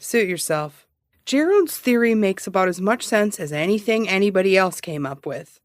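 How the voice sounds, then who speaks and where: A woman's voice: medium pitch, expressive, normal speed, dry recording, lightly acted. A woman playing a wry, teasing small-town private eye, telling her story drily in a fiction podcast. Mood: dry, wry. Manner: dry, teasing, storytelling for dramatic effect. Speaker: private investigator being interviewed. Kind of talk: fiction podcast acting, interview style.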